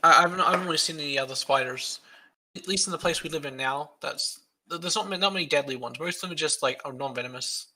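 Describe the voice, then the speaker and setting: A man's voice: fairly high pitch, fast, bright, forceful tone, low-quality recording. An Australian man who is a guest on a podcast, talking casually and rambling through long explanations.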